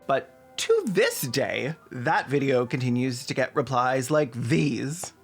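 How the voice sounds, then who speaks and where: A man with a mid-pitched voice hosts a comedic video essay. His delivery is sarcastic and irreverent, scripted but full of casual asides, with faint music underneath. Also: big pitch swings, slow pace, gravelly.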